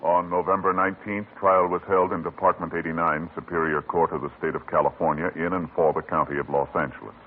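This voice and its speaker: A man announcing on an old radio show in a measured, formal, solemn way. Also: low-pitched, little pitch movement, slight background noise. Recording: tinny old radio broadcast with music.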